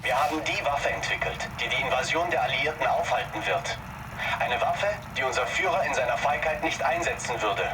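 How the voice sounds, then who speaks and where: An actor playing a fanatical German Nazi commander in a war game, making a grave, resolute villain speech. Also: male voice, medium pitch, lively intonation, brisk, distant mic, noisy background, close to an everyday voice.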